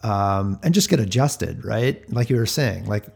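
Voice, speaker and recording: A man's voice: fairly low pitch, lively intonation, unhurried, gravelly, darkish tone, breathy edge. A man co-hosting a casual sports podcast, conversational and analytical, often thinking aloud. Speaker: podcast co-host.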